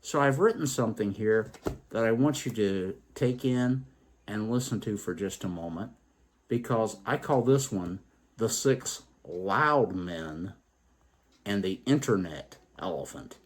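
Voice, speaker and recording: A man hosting a story program, telling tales and reflecting on their morals in a deliberate, emphatic way. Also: fairly low pitch, expressive. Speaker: storytelling show host.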